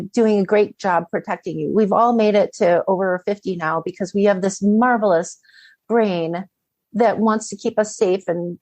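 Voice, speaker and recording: A woman's voice: mid-range voice, a little mellow, dry recording. A middle-aged woman giving conversational coaching advice as an interview guest, often posing questions and acting out inner dialogue.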